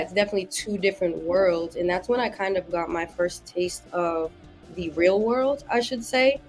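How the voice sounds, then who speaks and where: A young woman from Massachusetts with a slightly low voice talks as a podcast guest. She tells personal stories casually, in an informal, wordy way, correcting herself as she goes. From Massachusetts, United States. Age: young adult. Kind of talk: casual personal storytelling in an interview.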